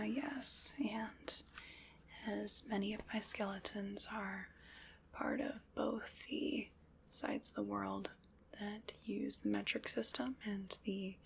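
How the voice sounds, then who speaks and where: ASMR roleplay: woman voicing a wry undead skeleton commander, slow gravelly whisper. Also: medium pitch, slight background noise. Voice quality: soft whispering. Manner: dry, teasing, unhurried.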